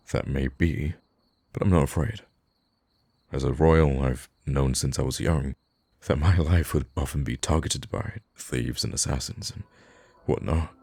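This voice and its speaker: Very deep-voiced, gentle prince played by a man. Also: vocal fry, breathy edge, exaggerated voice. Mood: resolute, earnest. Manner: formal, courtly, soft-spoken phrasing with hesitant pauses. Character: kind, charming young prince, noble and gently witty. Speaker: voice actor in an audio roleplay. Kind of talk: intimate ASMR roleplay acting.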